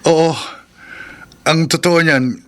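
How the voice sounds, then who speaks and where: An actor with a Filipino accent playing a nervous, eager-to-please taxi driver husband who stammers when uneasy, in a horror radio drama. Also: male voice, on the high side, steady tone, slow pace. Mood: friendly, uneasy.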